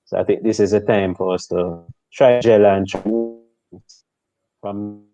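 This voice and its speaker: Slow, measured man, deep and dull. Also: fairly even intonation, dark tone, faint music behind. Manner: slow, measured, hesitant with ums. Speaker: football pundit. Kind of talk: casual live-stream analysis. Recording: dull, dry remote mic.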